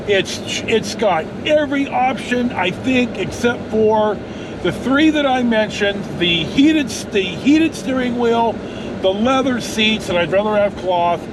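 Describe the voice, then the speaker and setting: Male vlogger, notably high voice, loose plain-spoken storytelling, recorded on the go with clear traffic and engine noise. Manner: rambling, plain-spoken, mildly profane. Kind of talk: casual rambling storytelling vlog. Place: inside a moving car and outside on a car lot. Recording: noisy vehicle and outdoor background. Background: car and road noise.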